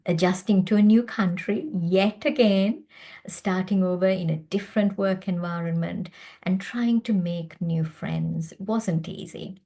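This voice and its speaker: A woman in her fifties from Sri Lanka speaks in a relaxed, warm tone that sits a little low. She is a doctor delivering a prepared personal talk. Her delivery is measured and earnest. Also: creaky. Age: 50s. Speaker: doctor and presenter.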